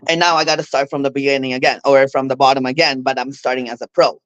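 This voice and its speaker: A woman's voice: a bass voice, fairly even intonation, fast talker, no echo, poor audio. A woman from Brazil with a Brazilian Portuguese accent, speaking candidly as a guest in a casual interview, laughing often. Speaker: guest, figure bodybuilder.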